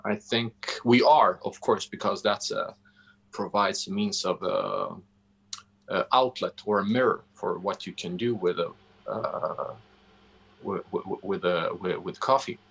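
A man in his forties with a Swedish accent, speaking haltingly and deliberately as he answers interview questions, often searching for words. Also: sits low, vocal fry, fairly bright tone, husky. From Sweden. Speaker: interview guest, coffee roastery founder. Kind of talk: interview answers on a video call. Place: indoor room, on a video call. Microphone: video call microphone.

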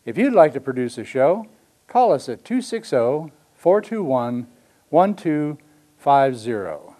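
A man's voice: fairly high pitch, very animated, measured pace, mellow. A man reading a scripted announcement as an announcer. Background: music.

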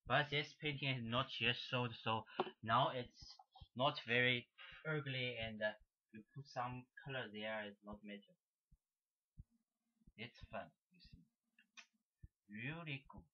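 A young man from Shanghai, a native Mandarin speaker, rambles casually in halting, repetitive broken English with a Chinese accent. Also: medium pitch, steady tone. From Shanghai, China. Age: young adult. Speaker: amateur painter demonstrating his work. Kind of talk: casual rambling demonstration to camera. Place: small indoor room. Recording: dull lo-fi webcam sound.

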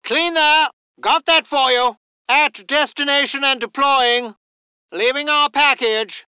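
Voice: high voice, fairly even intonation, takes it slowly, bright, forceful tone, no room sound, full character voice. An actor voicing a posh English military aviator in a video game, with clipped, old-fashioned understatement and a stiff upper lip. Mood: alert. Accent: upper-class English. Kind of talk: video game unit voice lines.

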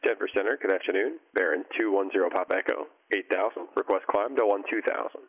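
A male pilot makes brisk, clipped radio calls. His low voice is raspy and comes through a muffled aircraft radio.

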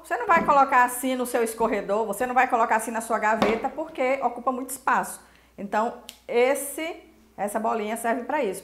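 A Brazilian woman hosting a casual cooking lesson, chatty and emphatic as she talks straight to the viewer. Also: a little high. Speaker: cooking show host. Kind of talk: casual instructional talk to camera. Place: small indoor kitchen. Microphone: lapel mic. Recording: roomy kitchen echo.

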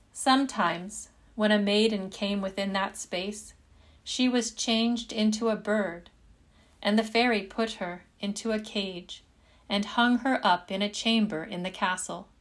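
A woman narrating a fairytale in measured, deliberate storybook phrasing. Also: medium pitch.

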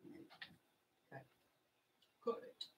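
Scratchy-voiced woman crafting live. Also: takes it slowly. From Texas. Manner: chatty, rambling, stops to explain each step. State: scratchy voice from illness. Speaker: craft livestream host. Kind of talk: casual craft tutorial livestream chat. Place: small home craft room, indoors.

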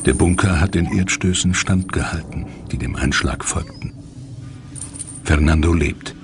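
A man's voice: deep voice, a little slow, noisy background. A man reading dramatic scripted narration as the narrator of a documentary.

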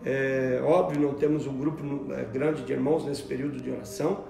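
A man in his forties preaching a sermon from a table at home, animated and repeating words as he searches for them. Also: medium pitch, brisk, vocal fry, reverberant, over music. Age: 40s. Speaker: pastor. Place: room at home, at a table with Bibles.

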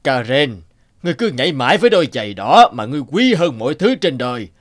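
A man acting as an old cartoon sorcerer, theatrical and stern, with wide swings in pitch. His comes through in a close, dry recording. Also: big pitch swings, dry recording, natural voice. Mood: menacing, stern. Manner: slow, theatrical, pronouncing. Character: stern old sorcerer, sly, menacing and condemning. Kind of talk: cartoon fairy-tale dubbing.

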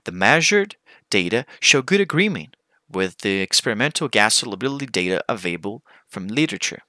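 A man giving a formal, scripted conference presentation as a researcher, with deliberate phrasing. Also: lowish voice, sing-song, pushed and bright, dry recording.

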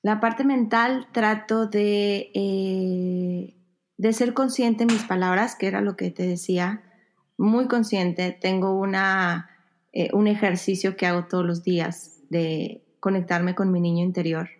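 A woman podcast guest with a medium-pitched voice answers casually from home over a video call. She speaks in short, halting pieces, feeling for the right word and often repeating herself for emphasis. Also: fairly even intonation. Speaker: podcast guest, yoga teacher. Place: home room with bare wall, indoors.

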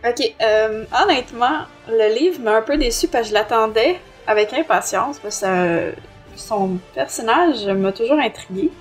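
Higher-pitched Québécois woman, casual. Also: animated, unhurried, soft background music. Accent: Québécois French. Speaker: podcast co-host and book reviewer. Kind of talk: casual book review chat.

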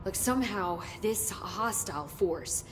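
An actress playing a nervous, talkative young research assistant in a video game. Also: female voice, mid-range voice, expressive, a little slow, over music, lightly acted. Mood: rattled. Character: rattled, chatty young female research assistant. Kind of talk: video game cutscene acting.

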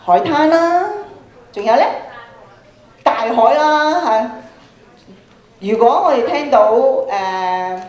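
A woman's voice: fairly high pitch, slow pace, pauses often, highs cut off. A middle-aged woman with a Hong Kong Cantonese accent speaks as a workshop presenter. She addresses a student audience through a handheld microphone, often asking questions. Her voice rings with the echo of a large auditorium. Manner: animated questioning, projecting to an audience. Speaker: workshop speaker, radio programme producer. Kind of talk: interactive lecture to students. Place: large school hall, on stage. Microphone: handheld microphone through PA, camera at a distance.

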